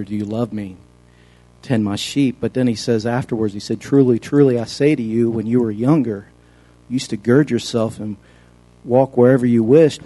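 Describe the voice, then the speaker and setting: A man's voice: medium pitch, dark tone, rough recording. A man taking part in a Bible study, sharing a thought conversationally and quoting scripture.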